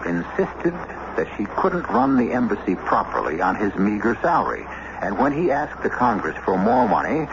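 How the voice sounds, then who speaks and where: A man with an American accent hosting and narrating a radio drama in a measured, theatrical scripted style. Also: deep voice, creaky. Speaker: narrator and host. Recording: muffled, noisy old radio broadcast.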